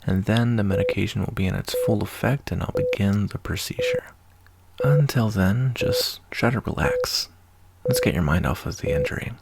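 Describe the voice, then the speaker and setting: A man playing a kindly, easygoing wasteland doctor in a soft-spoken, intimate ASMR roleplay, reassuring a patient in a folksy way. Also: on the low side, huge pitch swings, moderate pace, creaky, heavy background noise, heavily acted. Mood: calm, reassuring.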